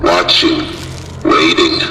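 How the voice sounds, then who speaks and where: A man voicing a weary, wounded toy dog, a gentle last survivor, speaking earnestly and gravely in a dramatic video game style. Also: slow pace, natural voice. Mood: ominous. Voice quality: very deep voice. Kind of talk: dramatic game character acting. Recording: echoey, loud background music.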